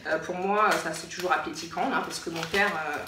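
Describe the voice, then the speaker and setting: A woman's voice: fairly low pitch, fairly even intonation, a little fast. French woman vlogging in an echoey room. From France. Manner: informal, repeats words for emphasis. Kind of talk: casual chatty show-and-tell vlog. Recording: roomy echo, distant mic, faint noise.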